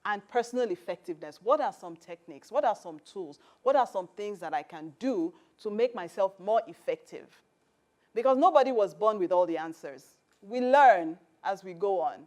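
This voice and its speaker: A woman's voice: medium pitch, expressive, normal speed, a little mellow. A woman hosting and teaching a personal-growth workshop, earnest and instructive, laying out her points one by one. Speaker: mentor and program host.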